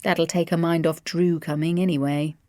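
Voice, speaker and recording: A woman's voice: sits low, level tone, moderate pace, warm tone, no room sound, lightly acted. A woman voicing a wry middle-aged father with dry, sardonic humour.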